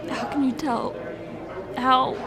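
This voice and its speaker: A young woman performing an emotional roleplay confession, halting and tearful, with many sighs and restarts. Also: high-pitched, fairly even intonation, a loud crowd. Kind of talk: emotional ASMR fiancée roleplay monologue.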